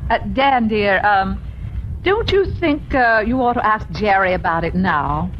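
A woman's voice: medium pitch, animated, unhurried, slight background noise, close to an everyday voice. An actress playing a poised, dryly sarcastic engaged society woman in a 1950s radio comedy, polite but cutting. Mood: coaxing, careful. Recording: muffled 1950s radio with music.

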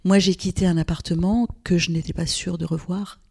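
A woman's voice: fairly low pitch, steady tone, fairly quick, gravelly, a little mellow, husky. An older woman from Lebanon, a gynecologist speaking as a guest in an interview, thoughtful, with frequent hesitations and restarts. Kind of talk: reflective interview conversation.

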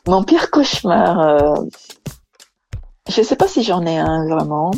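A woman's voice: lowish voice, sing-song, takes it slowly, vocal fry, a little mellow, soft background music. A woman from Brazil, an engineering manager answering interview questions hesitantly with many fillers and restarts.

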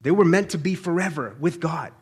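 A man's voice: fairly high pitch, lively intonation, a little fast, darkish tone, husky. A man giving an informal, conversational sermon as a preacher, colloquial and often checking in with listeners.

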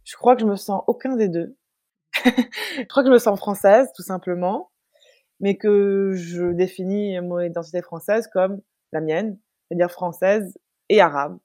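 A young woman from France, a guest talking in a casual interview, reflective and thoughtful, pausing often with fillers and restarting her sentences. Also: medium pitch, warm tone, no echo, studio quality. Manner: reflective, many hesitations and restarts.

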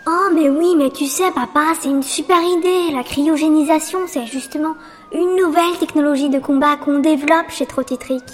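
A woman's voice: high voice, normal speed, lightly acted. A woman playing Santa's scheming start-up son, an eager, salesy character in a comic audio drama. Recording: reverberant hall with noise.

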